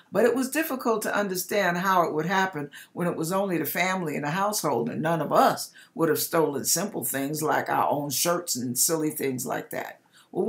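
Woman, middle-aged, lowish voice, very unhurried; reads a written testimony with dramatic pauses. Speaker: host reading a viewer's testimony. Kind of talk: reading a written testimony aloud. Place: home kitchen, indoors.

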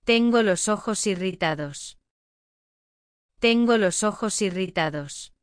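Woman reading lesson phrases real slow, crisp clear voice, dry close mic. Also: medium pitch, expressive, no room sound. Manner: clear, careful enunciation, each phrase said twice. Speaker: language lesson reader.

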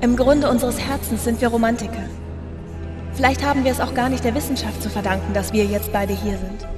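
A woman's voice: fairly high pitch, fairly even intonation, fairly quick, natural voice. An actress playing a tender, mysterious, urgent younger woman in a science fiction audio drama. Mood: wistful. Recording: long echoing reverb, music behind.

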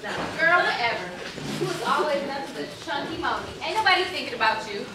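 A woman's voice: high-pitched, animated, quick pace. An actress playing a brash, boastful young party girl in a stage play, talking in a slangy, dismissive, sassy way. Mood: boastful, playful. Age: young adult. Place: theater stage, filmed from far camera. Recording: echoey, noisy stage recording from far off.

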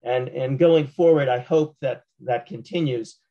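A man in his sixties, slightly hoarse, answering as a panelist from a home office over a video call, measured and often restarting his words. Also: mid-range voice, highs cut off. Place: small home office at night. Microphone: video call, laptop camera.

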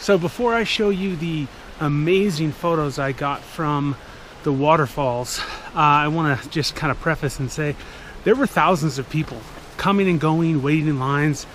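A man's voice: fairly high pitch, background noise. A man in his thirties hosting a hiking vlog outdoors, talking casually and with enthusiasm to a camera held at arm's length. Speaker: vlog host. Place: outdoors on a trail and in a canyon. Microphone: action camera held at arm's length.

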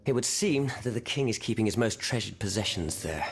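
Game revolutionary: lowish voice, wary yet fervent, formal, eloquent rhetoric. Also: male voice, expressive, brisk. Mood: wary, skeptical. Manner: formal, rhetorical, sprinkled with French phrases. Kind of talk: video game cutscene acting.

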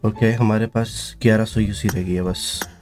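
Low-pitched man hosting over loud music. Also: steady tone, moderate pace. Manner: informal, slangy, exclaiming. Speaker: gaming video host. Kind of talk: casual reaction commentary on a crate opening.

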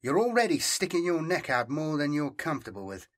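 A man's voice: fairly high pitch, sing-song, a little fast, a bit bright, pitched above the performer's own voice. A man voicing a smug, self-assured male fugitive in an audiobook, superior and teasing in manner. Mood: appraising, persuasive. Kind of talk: audiobook character dialogue.

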